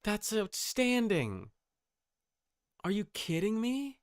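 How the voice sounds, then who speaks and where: A man's voice: high-pitched, huge pitch swings, slow pace, clear, resonant tone, no echo. A man streaming casual reaction commentary, thinking aloud in broken phrases close to the mic.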